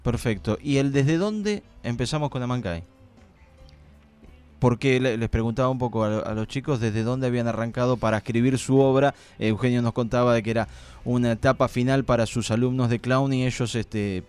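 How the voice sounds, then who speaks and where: An Argentine man hosts a casual radio interview in a medium-pitched voice. His talk is chatty and loosely phrased, rambling a little as he introduces and questions. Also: moderate pace, a little mellow, clear, resonant tone, faint music behind. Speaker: radio host.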